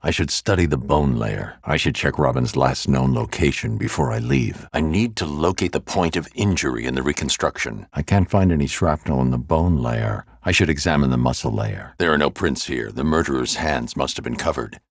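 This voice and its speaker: A grim, brooding vigilante detective for a game, with a voice that sits extremely low and rumbles. He talks in a clipped, methodical way, recorded close and dry in a studio. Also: animated, normal speed, few pauses, breathy edge, no echo, heavily acted. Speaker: video game character. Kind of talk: game voice acting. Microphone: close studio mic.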